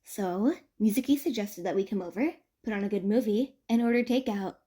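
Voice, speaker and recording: A woman voicing a calm, stoic young student friend with a composed, gently caring manner. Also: medium pitch, fairly quick, lightly acted. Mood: calm, gentle. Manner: composed, restrained.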